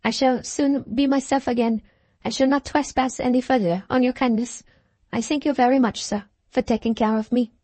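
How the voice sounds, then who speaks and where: A quiet, gentle young foreign lady, played by a woman reader who lifts her voice well above her usual tone. She speaks softly and simply in a storybook, close and dry with no room around her. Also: a little high, steady tone, normal speed, no echo, pitched above the performer's own voice, close to an everyday voice. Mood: shaken, grateful. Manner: soft, simple, earnest.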